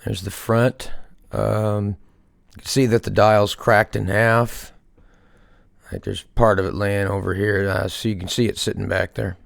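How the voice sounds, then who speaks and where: A man's voice: on the low side, flat delivery, measured pace, creaky, no room sound, studio quality. A man giving a casual, unscripted show-and-tell as a hobbyist presenter, hesitantly thinking aloud as he goes. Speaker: hobbyist restorer presenting a project.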